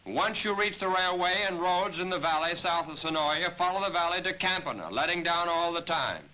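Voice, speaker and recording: Bright, forceful 1940s military briefing narrator, male. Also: high-pitched, poor audio. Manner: measured, directive, addressing aircrews. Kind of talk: scripted training film narration, instructional briefing. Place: voice-over recording for a 1943 film. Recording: 1940s training film soundtrack, radio-like.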